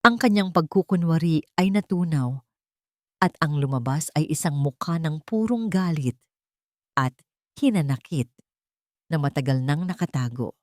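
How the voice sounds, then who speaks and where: A woman's voice: deep voice, expressive, normal speed, pauses often, darkish tone, no echo, studio quality. A woman narrator reading a scripted dramatic story as a voice-over. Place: dry, close voice-over recording.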